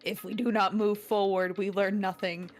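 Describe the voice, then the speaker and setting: A woman's voice: mid-range voice, level tone, moderate pace, soft background music. A woman playfully reading game dialogue aloud and chatting among friends. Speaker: gaming video participant. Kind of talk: playful group read-aloud.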